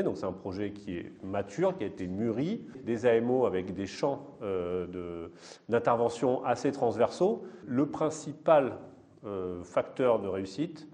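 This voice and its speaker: Lowish-voiced man explaining methodically. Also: a little mellow. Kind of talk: explanatory interview testimony.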